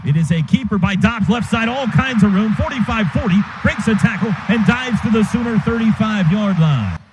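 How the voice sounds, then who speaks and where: A man calling a football game play by play for radio, in quick clipped bursts that jump up on big plays. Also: high voice, expressive. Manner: rapid, clipped calls that rise sharply on big plays. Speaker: play-by-play announcer. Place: stadium radio booth. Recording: noisy stadium radio broadcast.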